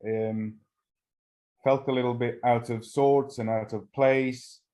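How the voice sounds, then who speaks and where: A man's voice: mid-range voice, steady tone, warm tone. Hesitant man from Finland sharing his story. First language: Swedish. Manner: halting, frequent restarts and fillers. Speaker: speaker at a recovery meeting. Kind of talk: personal testimony talk.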